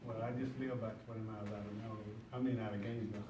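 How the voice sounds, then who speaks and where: A man's voice: on the low side, flat delivery, racing. A man in his seventies, a veteran on a panel, giving short plain answers. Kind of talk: panel discussion. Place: panel room with audience. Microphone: phone live stream across the room. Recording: echoey room, far from mic, noisy.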